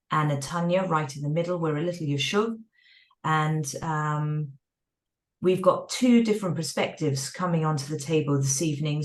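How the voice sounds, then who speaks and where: A woman with a London accent, speaking clearly and warmly as she explains things to a group of teens. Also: low voice, recorded from a distance. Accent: London English. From London, England. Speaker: co-host. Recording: roomy sound, a bit off mic.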